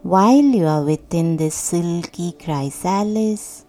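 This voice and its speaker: A woman guiding a sleep meditation in a soothing, hushed, deliberate voice with long pauses. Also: on the low side. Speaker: meditation guide. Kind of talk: guided sleep meditation, scripted narration. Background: soft meditation music.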